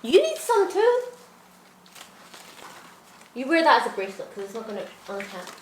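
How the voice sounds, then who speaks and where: A woman's voice: on the high side, huge pitch swings, normal speed. A woman from Scotland, chatting playfully and laughing often in a casual vlog. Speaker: vlogger. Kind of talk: casual chat. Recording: noisy with car and street ambience.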